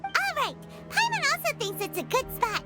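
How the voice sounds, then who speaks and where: A woman voicing a tiny, excitable fairy companion in a video game, with a high, squeaky, childlike voice and a bouncy, exclamatory delivery. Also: normal speed. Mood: cheerful. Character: tiny chirpy fairy companion, talks of herself by name. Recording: game audio with music behind. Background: game music.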